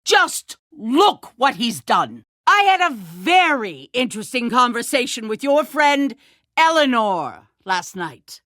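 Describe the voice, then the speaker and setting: A woman playing a calculating, ruthless leader of a survivor town in a video game, speaking in a measured, condescending way with controlled menace. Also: on the high side, big pitch swings, a bit bright, no echo, clean studio sound, full character voice. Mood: urgent, accusing, then cold, taunting. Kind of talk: dramatic video game acting.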